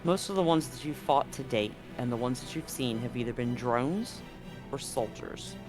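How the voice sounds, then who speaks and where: A man's voice: mid-range voice, normal speed, music in the background. A man narrating as game master in a tabletop game, loose and casual with many fillers and restarts.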